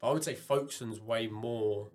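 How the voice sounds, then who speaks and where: A man from Kent, England, chatting casually as a podcast guest, animated and full of fillers. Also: fairly low pitch, over a noisy crowd. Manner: animated, quick bursts of excitement, many fillers.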